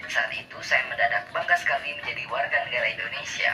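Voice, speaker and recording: A man's voice: mid-range voice, fast talker. A man narrating a first-person travel story in a formal, evenly read style. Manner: even, formal read-aloud delivery. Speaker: narrator of a played video. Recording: distant voice with music behind.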